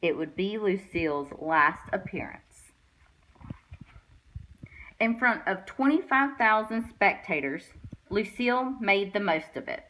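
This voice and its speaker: A woman reading a children's picture book aloud with expressive storytelling delivery. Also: mid-range voice, takes it slowly, pauses often, slight background noise, poor audio. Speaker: guest read-aloud reader.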